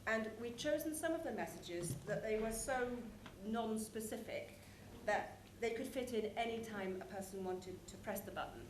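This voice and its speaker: A woman's voice: on the high side, moderate pace, hardly any pauses. A woman giving an informal, explanatory conference presentation about a show, speaking conversationally. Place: conference room, live presentation. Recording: echoey room with clear background noise.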